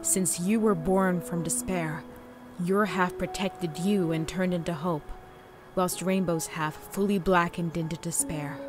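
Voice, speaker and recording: A woman voicing a calm, grave character explaining things in a measured way. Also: fairly low pitch, lightly acted. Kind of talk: fan audio drama acting. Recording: music underneath.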